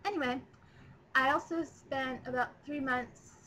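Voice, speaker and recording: A woman's voice: high-pitched, fairly even intonation, unhurried, sharp tone, slight background noise. A nineteen-year-old woman chatting in a rambling, casual first video blog, recorded on her laptop's webcam mic. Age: 19, young adult. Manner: chatty, rambling, lots of ums. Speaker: vlogger.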